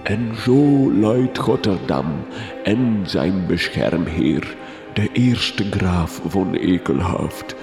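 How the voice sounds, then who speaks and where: An actor playing a doom-laden count in a gothic comedy radio drama, theatrical and portentous, with archaic diction. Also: male voice, deep voice, expressive, very slow, creaky, exaggerated voice. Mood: solemn storytelling. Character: doom-laden count recounting his ancient failed covenant. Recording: roomy reverberant sound, music behind.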